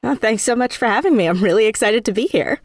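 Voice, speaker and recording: A woman's voice: a little high, expressive, fast talker, no room sound. A woman speaking casually as an interview guest about creative work, with an emphatic way of talking. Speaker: interview guest, audio drama creator and actor.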